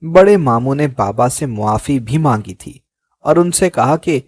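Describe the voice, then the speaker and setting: Slow, dry-voiced male storyteller. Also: mid-range voice, expressive, a little mellow, no room sound. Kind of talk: slow first-person story narration.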